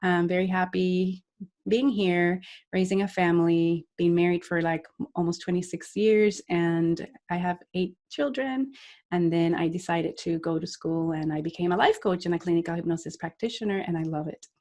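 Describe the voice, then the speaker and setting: A woman's voice: lowish voice, moderate pace, warm tone. A woman, a life coach talking earnestly and reflectively as a podcast guest. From Puerto Rico. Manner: earnest, reflective, with frequent restarts and laughs. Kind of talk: podcast interview.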